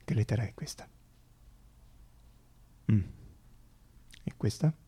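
A man playing an excitable, flustered eye doctor who stammers and repeats himself in a radio stage monologue. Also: on the low side, slow, breathy edge, pitched below the performer's own voice, heavily acted. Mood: nervous, fussy.

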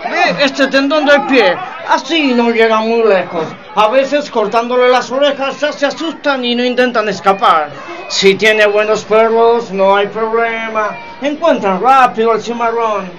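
A man acts out a slave trader in a community radio play. His voice is very high for a man, callous and brisk like a market seller. The sound is dull, with a noisy crowd around him. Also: a bit bright. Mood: callously instructive. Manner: brisk, matter-of-fact salesman's patter.

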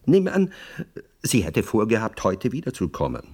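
A wry, doubting friend in a radio drama. His low, raspy voice swings widely in melody. He humours the other with teasing skepticism and small hesitations. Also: wildly swooping pitch, moderate pace, a little mellow, heavily acted. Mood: wry, skeptical. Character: skeptical, amused male friend humouring a grieving widower. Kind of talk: German radio play acting.

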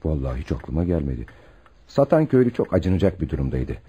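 A man's voice: a bass voice, sing-song, quick pace, dark tone, breathy edge, heavily acted. An actor playing an easygoing, plain-spoken uncle and adoptive father in a muffled Turkish radio play. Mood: defensive, plain. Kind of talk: Turkish radio theatre acting. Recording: dull, muffled old radio recording.

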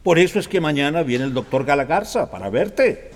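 Strict father, Costa Rican accent, scolding, lively pitch, reverberant. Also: brisk. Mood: stern. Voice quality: stern, scolding. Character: strict authoritarian father, middle-aged.

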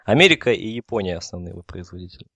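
A man's voice: low voice, lively intonation, racing, vocal fry, bright, forceful tone, dry recording. A man explaining technical matters in a casual, conversational panel discussion.

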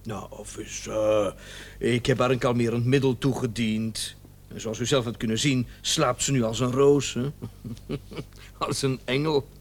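Smug, sardonic doctor in radio drama. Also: male voice, mid-range voice, fairly even intonation. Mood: smooth, apologetic. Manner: theatrical, ironic, drawn-out emphasis. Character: smug, talkative doctor, bitterly sarcastic about his unfaithful wife. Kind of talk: Dutch radio play acting.

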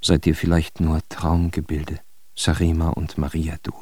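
An actor playing a brooding voice that recites a poet's verse in a German radio drama. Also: male voice, rumbling low, monotone, normal speed, a little mellow, slightly rough, dry recording.

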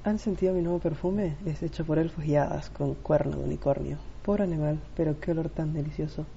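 A vain, theatrical dandy acted by a woman in an amateur radio play. The voice is low and affected, full of flirty self-admiration. The recording is muffled, with faint noise behind it. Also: fairly even intonation, brisk, vocal fry, dark tone. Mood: flirty, preening. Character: vain, flirty, theatrical man obsessed with his looks. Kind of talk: amateur Spanish-language radio play acting.

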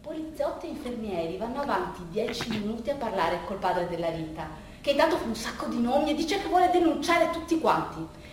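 An echo-heavy, distant recording with faint noise carries a woman's high voice. She performs a storytelling monologue for the theatre. Her delivery is conversational and halting, with phrases often repeated. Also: sing-song.

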